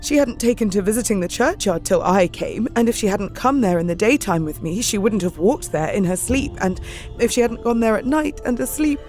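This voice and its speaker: A woman's voice: mid-range voice, lively intonation, fairly quick, darkish tone, faint music behind, close to an everyday voice. An actress playing an anxious, devoted young Victorian wife, reading her journal in a wistful, emotional way for an audio drama. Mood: anxious, sorrowful.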